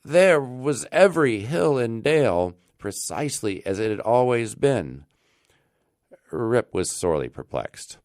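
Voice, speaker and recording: An American man reads a classic tale as a storyteller, in an expressive, deliberate manner with dramatic emphasis. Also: medium pitch, no room sound, clean studio sound. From United States. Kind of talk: scripted narration of a classic tale.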